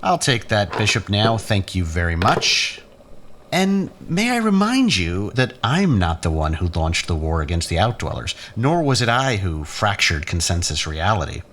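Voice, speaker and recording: A man's voice: fairly low pitch, sing-song, moderate pace, fairly bright tone. A man acting in a science fiction audio drama as a bitter, sardonic younger-brother scientist, with mocking, theatrical, erudite delivery. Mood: mocking, accusing. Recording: faint noise and room ambience.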